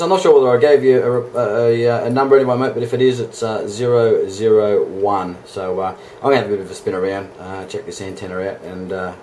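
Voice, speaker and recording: A man's voice: mid-range voice, a little mellow, a little hiss or hum. An Australian man chatting casually as an amateur radio operator, with a matey, laid-back way of talking. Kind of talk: casual two-way radio chat.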